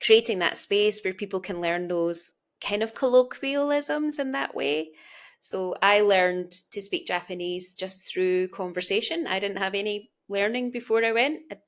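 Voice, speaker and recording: Female Glaswegian interviewer, medium pitch, talkative and explanatory in an informal interview. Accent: Glasgow Scottish. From Scotland. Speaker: podcast host and interviewer. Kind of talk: conversational interview.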